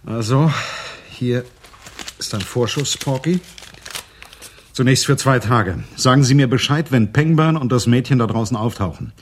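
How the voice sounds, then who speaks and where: An actor playing a wry, tough private detective in a German radio crime drama, speaking in a dry, sardonic, commanding way. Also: male voice, mid-range voice, unhurried, long pauses, a little hiss or hum, lightly acted. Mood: brisk, firm. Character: wry, tough private detective narrating his own case.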